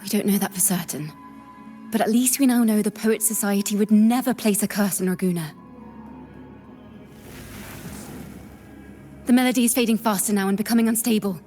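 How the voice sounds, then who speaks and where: A woman voicing a thoughtful, inquisitive young female bard in a video game, speaking softly and in a measured, reflective way. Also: mid-range voice, lightly acted. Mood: cautious, urgent. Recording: music behind the voice.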